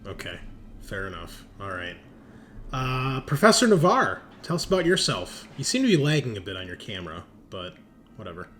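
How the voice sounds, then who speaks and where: A man's voice: on the low side, big pitch swings, moderate pace, fairly bright tone. A man hosting a tabletop game podcast, bantering casually with players in a laid-back, sweary way. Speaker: host and game master of a tabletop RPG podcast.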